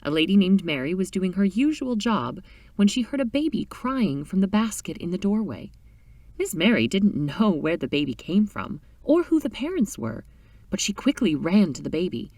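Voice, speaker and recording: A woman reading a short story aloud in an expressive, dramatic storytelling style. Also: medium pitch, moderate pace, no room sound, recorded from a distance. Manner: animated, dramatic reading with character voices.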